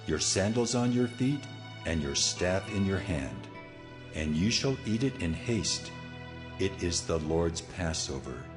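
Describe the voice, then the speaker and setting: A low, majestic voice of God, solemn and authoritative. The delivery is stately, declaiming law as in a dramatized scripture, with music behind it. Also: male voice. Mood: solemn, instructing. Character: solemn commanding God, the Lord giving law.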